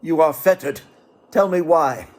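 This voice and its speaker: An actor playing a querulous, skeptical old miser who is easily frightened, in a theatrical radio play. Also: male voice, on the high side, unhurried, natural voice. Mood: terrified. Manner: dramatic, querulous.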